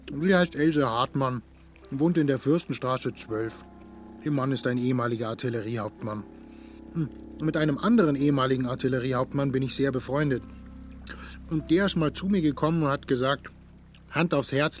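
A man's voice: medium pitch, close to an everyday voice. An actor playing a smug, self-absorbed young sportsman who chats away boastfully about himself in a German audio drama, with little hums between phrases. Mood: smug recounting.

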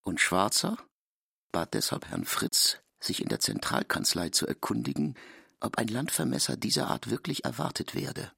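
A man's voice: mid-range voice, big pitch swings, normal speed, creaky, bright, forceful tone, breathy edge, no room sound. A man giving scripted literary narration as a narrator, recorded close in a studio.

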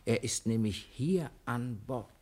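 A man's voice: medium pitch, unhurried, darkish tone, close to an everyday voice. An actor playing a sly, self-assured man posing as a private detective, talking politely and officially in a comedy-adventure drama. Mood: smooth, polite. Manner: polite, glib, official-sounding. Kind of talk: German screen comedy-adventure dialogue.

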